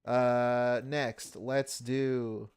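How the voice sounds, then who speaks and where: A man's voice: medium pitch, flat delivery, drawn-out words, a little mellow, clean tone. A man streaming live, reacting to videos with sarcastic, profane heckling and mocking commentary.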